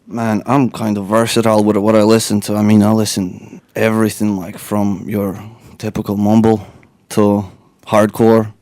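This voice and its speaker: A man's voice: fairly low pitch, little pitch movement, normal speed, few pauses, a little mellow, no echo. A young man from Latvia, a guest rapper chatting in a radio studio, rambling and laid-back with lots of fillers. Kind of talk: casual interview chat.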